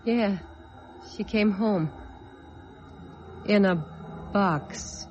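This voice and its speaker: A woman's voice: mid-range voice, extremely slow, darkish tone, soft background music. An actress playing a bitter, hard-boiled young woman out for revenge in an old-time radio drama, tough and world-weary. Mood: cold, grim. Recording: dull old radio recording.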